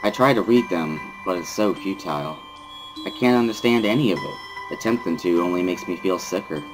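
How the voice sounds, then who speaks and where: A man's voice: low voice, animated, fairly quick, music in the background, rough recording. A man giving a somber, weary first-person dramatic reading of a visual novel as narrator.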